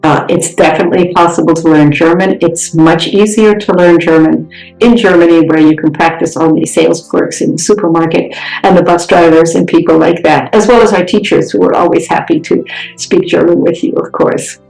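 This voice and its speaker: A woman's voice: fairly low pitch, fairly even intonation, a little fast, gravelly, darkish tone, echoey room, soft background music, rough recording. An American woman, a program director, patiently explaining how the program works in a podcast interview. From United States. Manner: reassuring, explanatory. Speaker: regional director of a freshman program.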